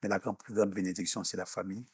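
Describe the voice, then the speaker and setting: A mature man from Haiti and Canada, answering as an interview guest in a deliberate, searching, preacher-like explanatory way. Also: low voice, fairly even intonation, darkish tone, husky, no echo, studio quality. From born in Haiti, grew up in Canada. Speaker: minister and executive coach, interview guest.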